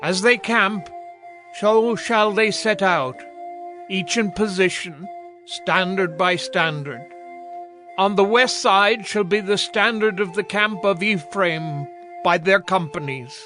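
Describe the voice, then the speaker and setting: A man with a high voice reads scripture slowly and formally. His delivery is declamatory, and prominent music plays behind him. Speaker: narrator.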